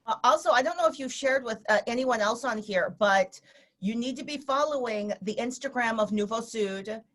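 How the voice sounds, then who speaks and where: A woman's voice: on the high side, normal speed, a bit bright. A woman hosting an online gathering, effusive and welcoming as she introduces people and hands over the floor. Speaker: host and facilitator. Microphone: video call.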